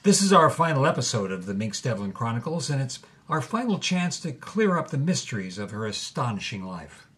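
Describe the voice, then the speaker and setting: An actor playing an earnest documentary host, introducing guests and clarifying details in scripted style. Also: male voice, mid-range voice, big pitch swings, a little fast, few pauses, natural voice. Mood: measured, informative. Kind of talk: scripted hosting in an audio drama.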